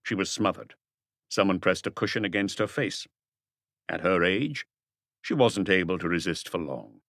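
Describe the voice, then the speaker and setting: A man voicing a shrewd police detective in an audiobook, speaking in a quiet, casual, measured way. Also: sits low, lively intonation, vocal fry, no echo, studio quality, exaggerated voice. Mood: calm, probing. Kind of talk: audiobook character dialogue.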